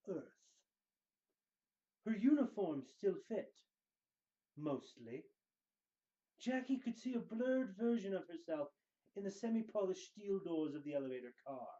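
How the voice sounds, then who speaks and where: Male narrator for theatrical fiction readings, slightly high-pitched yet soft-toned, emphatic and deliberate, on a muffled recording. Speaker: reader of book excerpts. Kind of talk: dramatic scripted book reading.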